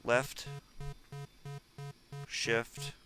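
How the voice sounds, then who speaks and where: A man playing a flight simulator at home, reading instructions back to himself in a halting, self-joking way. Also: music in the background. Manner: repeats instructions aloud slowly, self-joking. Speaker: gamer learning a flight sim. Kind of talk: casual gameplay commentary.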